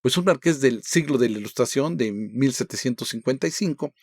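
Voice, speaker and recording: A man's voice: mid-range voice, animated, brisk, breathy edge, no room sound, studio quality. A man giving an informal lecture, asking rhetorical questions and checking in with his listeners. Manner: conversational, asks rhetorical questions, frequent "¿sí?" and "¿no?". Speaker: lecturer on construction history. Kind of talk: informal history lecture with slides.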